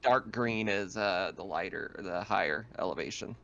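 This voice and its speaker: A man's voice: sits low, normal speed, creaky, fairly bright tone, highs cut off. A man casually narrating and explaining a tabletop game as game master.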